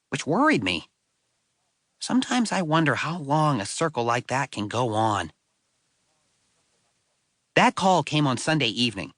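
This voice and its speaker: A man playing a wry, self-deprecating sixth-grade boy, telling his story in a conversational, expressive way for an audiobook. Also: mid-range voice, no echo, clean studio sound. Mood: worried, reflective. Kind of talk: first-person audiobook storytelling.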